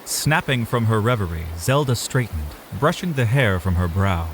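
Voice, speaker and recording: Low, animated male storyteller. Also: moderate pace, slight background noise, rough recording. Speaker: narrator. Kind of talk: dramatic scripted storytelling narration. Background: music.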